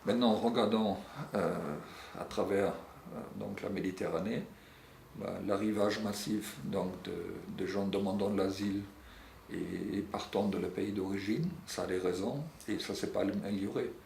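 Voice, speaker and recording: A middle-aged man answering interview questions earnestly, often pausing on euh and restarting his sentences. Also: low voice, fairly even intonation, gravelly, a little mellow. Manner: earnest, searching for words with frequent euh and restarts. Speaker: secretary general of a European civil society network. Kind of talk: interview answers on European social policy. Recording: echoey room with faint background noise.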